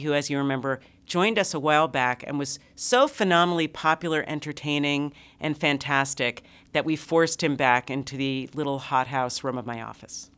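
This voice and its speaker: A woman's voice: deep voice, a bit bright, clear, resonant tone. A woman hosting a podcast, nasal from a cold, bubbly and gushing with superlatives. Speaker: podcast host. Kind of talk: casual podcast chat.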